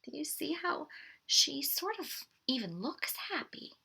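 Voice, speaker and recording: A woman reading a picture book aloud to children in an expressive, deliberate way. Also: high voice, creaky. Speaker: storybook read-aloud presenter.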